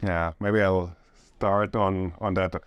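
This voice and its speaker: Deep-voiced middle-aged man from Austria. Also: flat delivery, takes it slowly, clean tone, no room sound, muffled recording. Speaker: co-owner of a real estate firm. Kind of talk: casual podcast chat.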